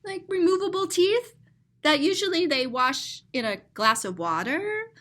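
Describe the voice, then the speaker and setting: A woman with a high, very lively voice reads a picture book to young children. She takes her time and is playful and expressive. She often steps out of the story to describe and explain things in simple words. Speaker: storyteller and teacher.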